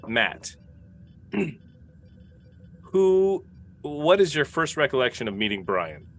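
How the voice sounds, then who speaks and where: A man on a casual panel video call, posing questions in a halting, deliberate way. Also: medium pitch, big pitch swings. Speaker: cast member asking questions. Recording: noisy video call audio.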